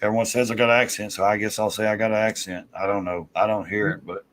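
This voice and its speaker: Male host, drawl, relaxed rambling chat. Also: lowish voice, fast talker. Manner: laid-back rambling with laughs. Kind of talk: casual chat.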